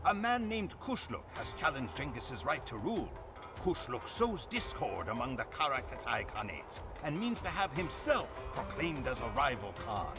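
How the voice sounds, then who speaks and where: An actor playing a grim Mongol warrior who solemnly recounts his Khan's conquests as dramatic game cutscene narration, with deliberate phrasing. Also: mid-range voice, big pitch swings, over music. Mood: grave, proud.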